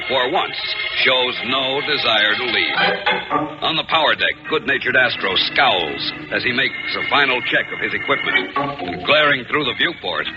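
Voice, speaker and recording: An American man narrating a 1950s radio serial as its announcer, grand and emphatic. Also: mid-range voice. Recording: muffled old radio broadcast. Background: loud music.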